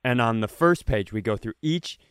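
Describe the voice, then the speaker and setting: A man's voice: medium pitch, lively intonation, moderate pace, fairly bright tone, smooth, dry recording, studio quality. A man co-hosting a comedy podcast, riffing and telling stories in a casual, playful way. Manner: riffing, storytelling, playful bits. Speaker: podcast co-host.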